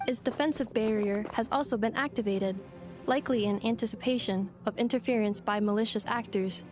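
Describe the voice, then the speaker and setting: A woman's voice: fairly high pitch, a little fast, a little mellow, faint music behind, lightly acted. An actress playing a formal, analytical woman in a video game, precise and matter-of-fact. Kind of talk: scripted game voice acting.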